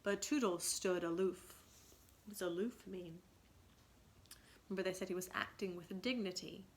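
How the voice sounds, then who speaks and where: A woman's voice: on the low side, normal speed. A woman reading a children's story aloud dramatically, in a teacherly way that invites listeners to think.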